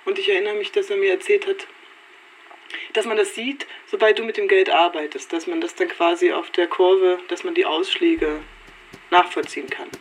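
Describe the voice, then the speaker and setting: A woman's voice: lowish voice, fairly even intonation, normal speed, a little hiss or hum, rough recording. A woman talking casually and reflectively, thinking aloud in loose, self-correcting sentences.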